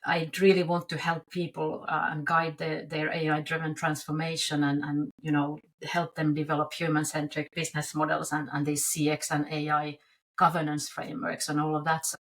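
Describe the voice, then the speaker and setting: A woman's voice: sits low, monotone, moderate pace, a bit bright. A woman from Finland, a podcast guest talking casually over a video call, chatty and full of restarts and fillers. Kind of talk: casual interview talk.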